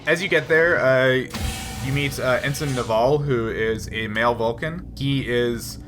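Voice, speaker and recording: A man running a tabletop roleplaying game as game master, improvising his narration haltingly as he thinks aloud. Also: mid-range voice, fairly bright tone, soft background music. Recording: noisy room ambience.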